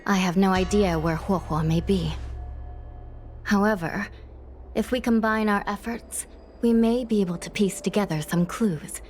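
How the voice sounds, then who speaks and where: A woman playing a serious, level-headed investigator in a video game, speaking in a formal, measured, resolute way. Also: medium pitch, a little mellow, close to an everyday voice. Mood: grave.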